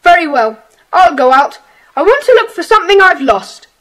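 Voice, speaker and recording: Sulky little boy, really high voice, radio play, snappy temper. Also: very animated, moderate pace, poor audio. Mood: cross, sulky. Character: hot-tempered young schoolboy. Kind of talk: audio drama acting.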